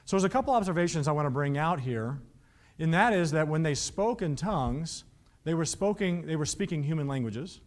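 A man's voice: fairly high pitch, animated, brisk. A man in his thirties preaching a Bible teaching on a studio stage, reading verses aloud and asking the listeners rhetorical questions. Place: studio stage with painted backdrops.

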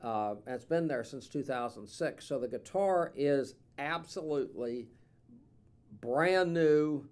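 A man in his sixties with a drawl talks through a guitar for sale in an easy, meandering way. He fills pauses with plenty of "uh"s and restarts. He is recorded seated in a small, dim studio on a stand mic. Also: mid-range voice, clean tone. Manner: relaxed, rambling with many fillers. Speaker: instrument dealer. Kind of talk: casual product demo.

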